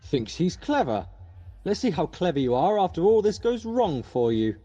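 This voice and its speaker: Man acting blustering anxious father, very mellow dark voice, wildly varying pitch, audio drama. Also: very animated, moderate pace, lo-fi sound.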